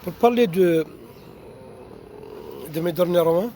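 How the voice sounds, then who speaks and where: Mauritanian man, accented French, writer answering questions, halting with restarts; slightly higher voice, faint background noise. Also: mellow. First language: not French. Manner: halting, many fillers and repeated restarts. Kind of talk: unscripted answers to online questions.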